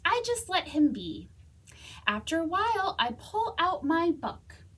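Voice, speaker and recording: A woman reading a children's story aloud as a storytime reader, expressively, with dramatic pauses and whispers. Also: extremely high pitch, very animated, fairly bright tone. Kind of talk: animated children's read-aloud.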